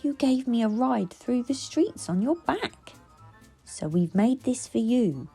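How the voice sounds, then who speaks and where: A woman's voice: on the high side, expressive, slow pace, creaky, mellow, breathy edge, faint music behind, voice pushed up, heavily acted. A woman voicing an eager, sweet-natured young child in a storybook, coaxing and pleading. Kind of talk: storybook character voices.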